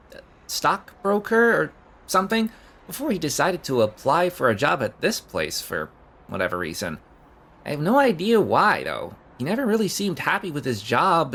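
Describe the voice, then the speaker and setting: A man's voice: on the high side, big pitch swings, normal speed, fairly bright tone, exaggerated voice. A man acting in an audio drama as an awkward, overeager young man who stammers and rambles nervously. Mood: casual, speculative. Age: young adult.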